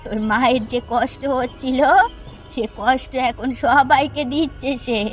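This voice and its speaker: A woman's voice: high-pitched, measured pace, soft background music. A woman voicing a vengeful, wicked village witch in a cartoon fairy tale, gloating and dramatic. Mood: vengeful glee.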